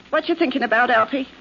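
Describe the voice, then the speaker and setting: An actress playing a teasing, nagging London housewife with chatty, colloquial talk, in 1940s radio drama style. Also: female voice, high-pitched, gravelly. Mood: idle, teasing. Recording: dull, noisy 1940s radio recording.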